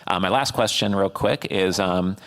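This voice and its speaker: A man hosting a conference fireside chat, upbeat and quick with praise, his speech full of fillers. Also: sits low, a bit bright. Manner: upbeat, filler-heavy wrap-up talk. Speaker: host. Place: conference stage.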